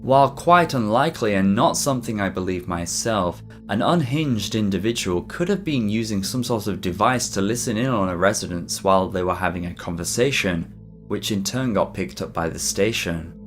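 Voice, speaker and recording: Low-voiced man narrating over music. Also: animated, moderate pace, a bit bright. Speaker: YouTube narrator. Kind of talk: scripted mystery documentary narration. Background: background music.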